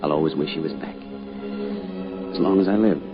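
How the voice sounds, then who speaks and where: A man's voice: low voice, huge pitch swings, a little slow, full character voice. An actor playing a subdued, grieving middle-aged father and small-town shopkeeper, plain-spoken and hesitant, in an old-time radio drama. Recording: muffled old radio recording, music behind.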